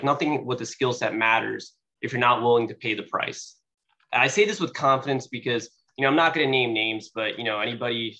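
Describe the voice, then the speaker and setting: A young man speaks at a sales meeting in a bright, forceful voice and a loose, casual manner, full of fillers. He sounds a little sniffly from allergies. The recording is slightly dull and lo-fi. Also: mid-range voice. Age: young adult. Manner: casual, many "you know" fillers. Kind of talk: motivational speech. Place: meeting room.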